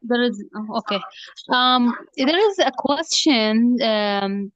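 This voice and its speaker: A woman's voice: on the high side, animated, slow, dry recording. A woman taking part in an online session, asking a question hesitantly with many fillers. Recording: dull dry call audio.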